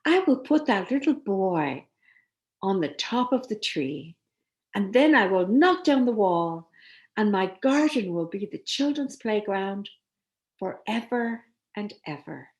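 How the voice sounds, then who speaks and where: A woman's voice: medium pitch, very animated, takes it slowly, highs cut off. A woman voicing a big, kind, remorseful male giant from a storybook, speaking tenderly. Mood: resolved.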